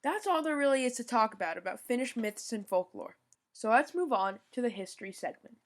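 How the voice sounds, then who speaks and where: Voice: mid-range voice, lively intonation, a little fast, noisy background. A young podcast host giving a scripted informational rundown, now and then stumbling over foreign names and apologizing.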